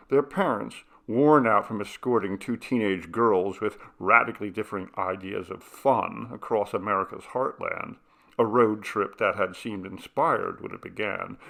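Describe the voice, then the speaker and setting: A man reading short fiction aloud as an audiobook narrator, in an expressive storytelling manner with a gravelly voice. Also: on the low side. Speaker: audiobook reader.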